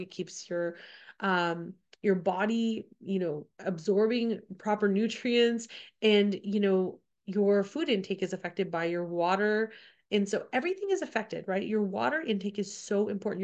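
40s lady chatting on her podcast, voice a bit off from a cold, lots of "like" and "right?". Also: mid-range voice. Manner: chatty, repeats points, many "like" and "right?". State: getting over a cold, slightly off voice. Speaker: podcast co-host. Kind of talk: casual solo podcast talk.

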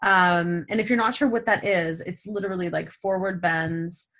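A woman co-hosting a casual podcast about stretching and wellness, animated, laughing often and filling her talk with "like" and "um". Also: on the low side, moderate pace. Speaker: podcast co-host.

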